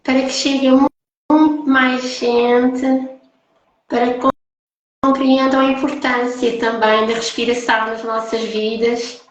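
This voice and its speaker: A woman's voice: fairly high pitch, little pitch movement, measured pace. A woman with a European Portuguese accent hosting a casual live-stream chat as a holistic therapist. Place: echoey indoor room, online live call. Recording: very echoey room, live stream.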